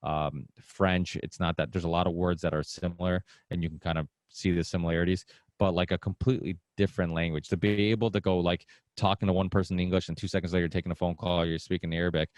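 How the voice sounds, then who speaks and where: A very low-voiced middle-aged man from Portugal, whose first language is Portuguese, chats casually as a podcast guest. He rambles and often restarts his sentences. The recording is close and dry, with no room sound. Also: little pitch movement, quick pace, a little mellow, dry recording. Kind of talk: casual conversation.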